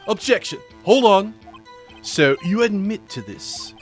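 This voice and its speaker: A man's voice: sits high, sing-song, measured pace, soft background music, pitched above the performer's own voice. A man voicing a haughty, disdainful prosecutor in a video game, with pompous, formal phrasing.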